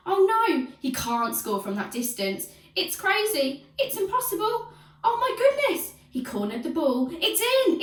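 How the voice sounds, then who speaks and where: Woman as excitable, high-swooping football commentator. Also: echoey room, recorded from a distance. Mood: ecstatic, amazed. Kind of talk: sports commentary.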